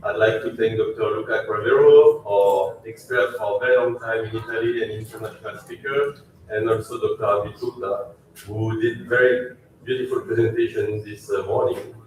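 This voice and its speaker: A man's voice: lowish voice, steady tone, distant mic, a little hiss or hum. French man speaking in an echoey hall. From France. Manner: polite, thankful, halting with fillers. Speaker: company CEO giving launch speech. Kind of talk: conference speech. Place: large echoey event hall.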